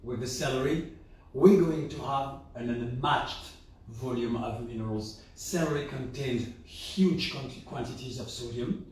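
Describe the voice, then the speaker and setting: A man hosting a health video, explaining things to viewers in a raspy voice, hoarse from a sore throat, with halting, self-correcting phrasing. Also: mid-range voice, darkish tone. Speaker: host of a health and juicing channel. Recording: very echoey room, distant microphone.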